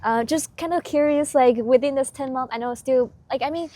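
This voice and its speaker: A woman's voice: high-pitched, a little fast, mellow. Giggly young woman hosting a podcast. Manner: hesitant phrasing, frequent little laughs. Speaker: student podcast host. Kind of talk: casual interview chat.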